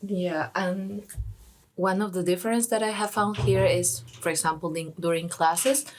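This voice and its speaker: A young woman from Peru, a student guest on a podcast, cheerful and laughing often, pausing as she searches for words. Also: fairly low pitch, steady tone, poor audio. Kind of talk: casual podcast conversation.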